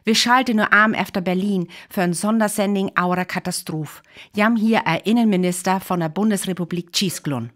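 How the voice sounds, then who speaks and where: An actress playing a sober news announcer delivering grave bulletins in a radio drama. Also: female voice, medium pitch, moderate pace, fairly bright tone, close to an everyday voice. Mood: grave, urgent. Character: woman news announcer soberly reporting a catastrophic invasion.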